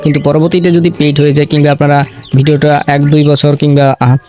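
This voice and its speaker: A man's voice: a little high, level tone, fast talker, a little mellow, soft background music. A man presenting an informal step-by-step screen tutorial, often checking that listeners follow along. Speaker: tutorial host.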